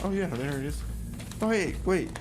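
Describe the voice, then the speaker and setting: A man with a somewhat high voice hosts a community radio music show. His delivery is casual and offhand, with repeated phrases and comic banter. Clear noise sits behind him. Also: expressive, normal speed.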